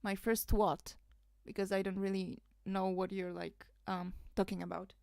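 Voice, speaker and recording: A woman's voice: mid-range voice, little pitch movement, moderate pace, gravelly, darkish tone, no room sound, studio quality. A woman from Poland, rambling and laughing through casual livestream commentary, full of fillers. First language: Polish. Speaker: streamer.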